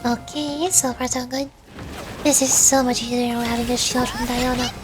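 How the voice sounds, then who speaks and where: A woman's voice: on the high side, fairly even intonation, moderate pace, noisy background. A woman giving casual, chatty livestream commentary, talking to herself as she plays a video game. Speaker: streamer, virtual YouTuber. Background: game music.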